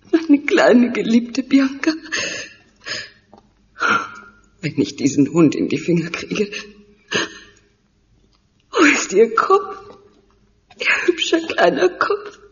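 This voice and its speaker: In a radio play, a heartbroken mother with a high voice, crying and pleading. Her words come slowly and dramatically. The sound is dull and heavily reverberant. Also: heavily acted. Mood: anguished, pleading. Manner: anguished, pleading, dramatic delivery. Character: grieving mother identifying her murdered teenage daughter. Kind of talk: German audio drama acting.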